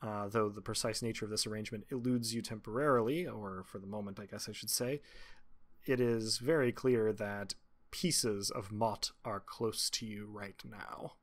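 A man's voice: fairly low pitch, steady tone, normal speed. A man narrating a tabletop roleplaying game as the game master.

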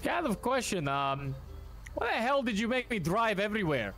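A man's voice: high voice, sing-song, a little fast, vocal fry, a bit bright, faint music behind, heavily acted. A man voicing a bewildered 53-year-old man who keeps asking what is going on. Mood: exasperated. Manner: confused, keeps asking questions. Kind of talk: roleplay game dialogue.

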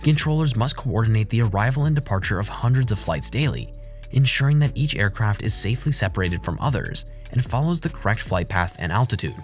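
A man reading a scripted explainer as a documentary narrator, with a measured, formal delivery. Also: lowish voice, expressive. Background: background music.

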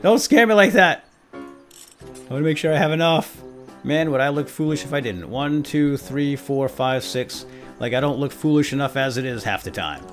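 A man's voice: mid-range voice, lively intonation, normal speed. Guy doing a playful let's play, talks to himself, super up and down voice, faint music behind. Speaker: gaming video host. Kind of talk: role-play let's play commentary.

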